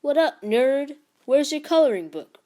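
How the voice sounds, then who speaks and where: A woman's voice: sits high, very animated, a little slow, mellow, voice pushed up. A woman voicing a cocky, mocking tall teenage boy, a bully with brash, slangy taunts, in a storybook reading.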